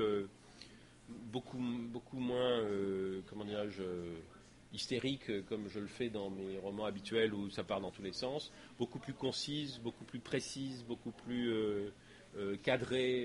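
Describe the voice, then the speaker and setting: Hesitant man answering questions at a lecture. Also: mid-range voice. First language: French. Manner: hesitant, many fillers and restarts. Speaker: guest speaker. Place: lecture hall.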